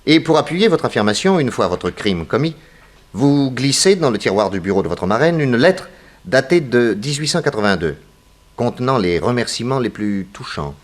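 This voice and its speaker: Commanding male detective, very animated delivery, acted by a man. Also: mid-range voice, lightly acted. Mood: explanatory, assured. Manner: theatrical, commanding, deliberate accusatory delivery. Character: shrewd, authoritative male detective confronting suspects. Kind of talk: 1960s French radio mystery acting.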